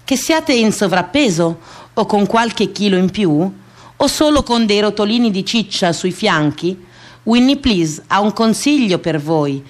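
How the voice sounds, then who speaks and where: A woman's voice: mid-range voice, lively intonation, unhurried, natural voice. A woman playing a defiant, self-accepting woman in a theatrical radio monologue, speaking in emphatic, repetitive declamation. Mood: reassuring.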